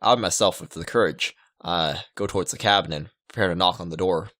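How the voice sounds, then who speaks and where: A man's voice: sits low, a little fast, a bit bright. A man hosting a let's play, reading a visual novel aloud and adding casual commentary, with music underneath.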